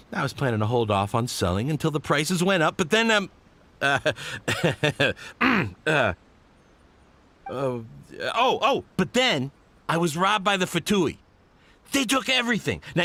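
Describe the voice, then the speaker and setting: An actor voicing a nervous, shifty merchant in a video game, stammering and evasive. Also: male voice, on the high side, bright, forceful tone. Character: nervous, shifty male merchant protesting his innocence.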